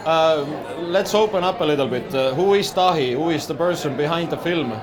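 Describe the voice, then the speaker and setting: A man's voice: on the high side, fairly quick. A man hosting a festival interview, speaking hesitantly with many fillers and encouraging murmurs. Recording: busy crowd noise behind.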